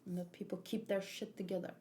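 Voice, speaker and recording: A woman hosting a livestream read-along, chatting casually in an excitable, playful, expressive way. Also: mid-range voice, fast talker, slight background noise. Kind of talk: casual livestream chat mixed with animated read-aloud.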